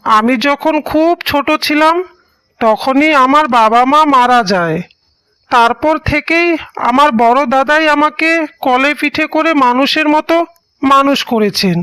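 Woman voicing a high-pitched gentle young husband. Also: dry recording. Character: gentle young village husband, soft-hearted younger brother. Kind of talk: cartoon story voice acting.

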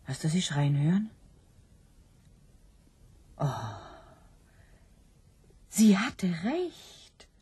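A woman acting an anguished female pig in a radio play, with a husky, breathy voice. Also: lowish voice, huge pitch swings, drawn-out words, pauses often, mellow, slight background noise, exaggerated voice. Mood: urgent.

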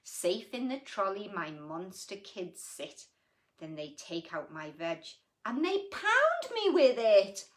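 A woman voicing a tired, put-upon mother of unruly children in rhyming, measured picture-book narration. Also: mid-range voice. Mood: weary complaint. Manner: measured, expressive, rhythmic.

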